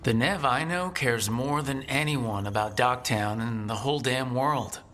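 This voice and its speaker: A man voicing a calm, encouraging and plain-spoken leader in a video game. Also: medium pitch, a little slow, slight background noise, natural voice. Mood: reassuring. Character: steady, reassuring male hero and leader.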